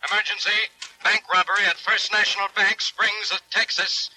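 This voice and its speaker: An actor playing an urgent police radio dispatcher, reading clipped bulletins in 1940s radio style. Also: male voice, sits high, level tone, moderate pace, close to an everyday voice, poor audio.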